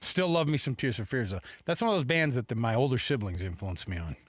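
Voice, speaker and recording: A man's voice: mid-range voice, expressive, quick pace, no room sound. An American man hosting sports talk radio with casual banter, speaking into a close studio microphone.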